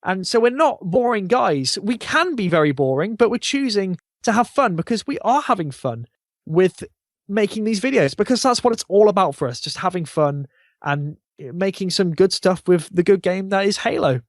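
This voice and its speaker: A man's voice: high-pitched, animated, normal speed, no echo, studio quality. A man talking casually as a podcast guest, rambling informally and with enthusiasm. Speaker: podcast guest, YouTube channel founder. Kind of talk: casual interview chat about a gaming channel.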